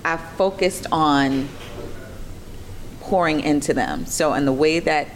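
A woman in her fifties with a slightly low voice speaks in a candid, conversational way. She sounds reflective and puts firm emphasis on her points. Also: slow, slight background noise, poor audio. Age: 50s.

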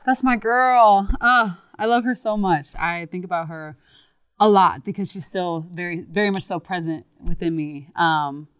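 A woman talking casually as an interviewed singer, expressive and reflective, with emphatic moments. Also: fairly low pitch, measured pace, clean tone, no room sound.

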